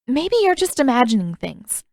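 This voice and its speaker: A woman's voice: on the high side, very animated, moderate pace, gravelly, darkish tone, dry recording, clean studio sound, voice pushed up. A woman voicing a defiant, flustered teenage girl who argues emphatically in a narrated fantasy story. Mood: flustered, startled. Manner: indignant, emphatic.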